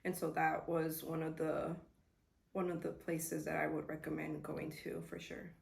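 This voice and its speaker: A young woman student speaking gently and with some hesitation in a casual testimonial. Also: sits low, level tone, normal speed, vocal fry. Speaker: summer school student.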